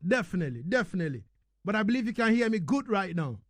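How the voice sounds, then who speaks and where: Male radio interviewer, deliberate delivery with repeated words, very dry studio-like sound. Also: high voice, big pitch swings, dry recording. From Ghana. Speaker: radio host. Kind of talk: live radio interview hosting.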